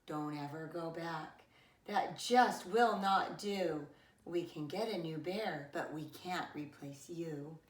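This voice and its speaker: A woman voicing an earnest, polite young child in a storybook, with emphatic, drawn-out delivery. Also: deep voice, recorded from a distance. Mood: urgent, firm. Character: young child narrator, polite, pleading, earnest and bossy about safety. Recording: roomy echo, away from the mic.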